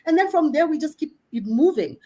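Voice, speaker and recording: A woman's voice: high voice, brisk. Emphatic Kenyan woman coach. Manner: emphatic, animated, preaching self-promotion with humor. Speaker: career coach. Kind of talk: panel talk on careers.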